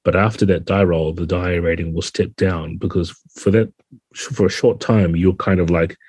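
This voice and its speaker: Deep, mellow man explaining things. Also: fairly even intonation, moderate pace, slightly rough, dry recording. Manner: thoughtful, methodical explaining. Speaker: podcast guest, game designer. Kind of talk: explanatory interview talk.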